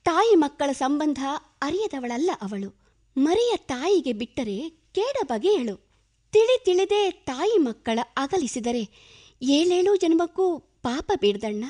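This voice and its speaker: Impassioned high-voiced compassionate village woman. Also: sing-song, a little slow, mellow. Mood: earnest pleading. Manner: impassioned, poetic, archaic literary diction. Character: compassionate village woman pleading against animal sacrifice. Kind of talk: radio play acting.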